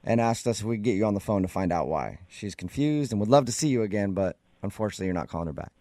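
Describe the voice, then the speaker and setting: A man's voice: on the low side, lively intonation, very fast, dark tone, no room sound. A man hosting a radio show, chatting casually with a teasing, joking manner. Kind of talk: casual morning radio chat.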